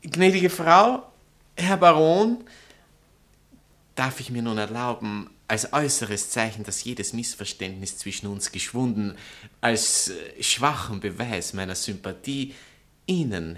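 A man's voice: medium pitch, a little slow, a bit bright, lightly acted. An actor plays a proud male novelist in a satirical stage comedy. His manner is grandiloquent and formal. He stays ironically courteous while being defiant. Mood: ironic courtesy. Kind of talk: theatrical stage comedy dialogue.

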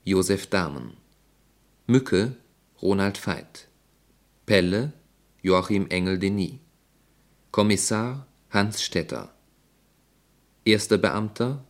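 A male radio announcer reading the cast credits of a radio play in a measured, formal way. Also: low voice, animated.

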